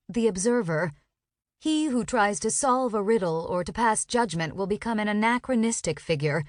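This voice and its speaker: A woman's voice: medium pitch, dry recording, clean studio sound. A woman narrating a nonfiction audiobook as a professional reader, with urgency and intensity.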